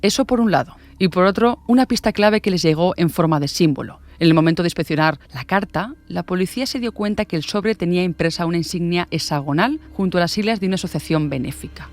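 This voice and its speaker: A woman's voice: lowish voice, fast, few pauses, darkish tone. A woman from reads a scripted, dramatic true-crime narration. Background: music.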